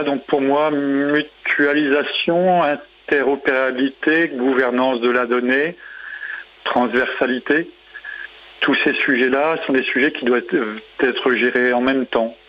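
A man's voice: mid-range voice, slight background noise. Slightly muffled man explaining methodically. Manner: methodical, builds points in lists. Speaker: interview guest, local government digital official. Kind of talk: explanatory radio interview talk. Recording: slightly dull, lo-fi radio sound.

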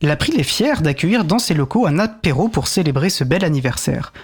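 A man's voice: on the high side, very animated, fairly quick, slightly rough. A man hosting a radio show and reading out announcements.

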